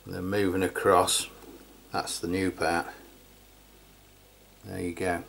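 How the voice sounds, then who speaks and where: A middle-aged Englishman with a low-pitched voice talks through a piece of equipment. He is informal and matter-of-fact, in the casual style of a hands-on video review. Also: little pitch movement, slow pace, creaky, fairly bright tone, a little hiss or hum. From England. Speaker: radio review channel host.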